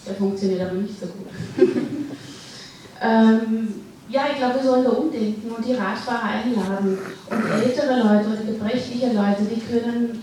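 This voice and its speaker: A woman's voice: a little high, dark tone, slight background noise. A woman with a Dutch accent speaking up hesitantly from the audience at a public panel discussion. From Netherlands. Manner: hesitant, searching for words. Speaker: audience member. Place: large hall at a public event. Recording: echoey hall, distant mic.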